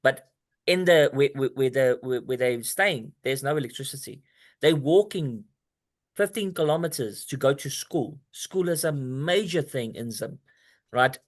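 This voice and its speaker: A man's voice: medium pitch, animated, a little slow. Male host on a video call, casual and rambling, many restarts. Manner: rambling, restarts often, talks straight to the listener. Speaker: company presenter hosting a video call.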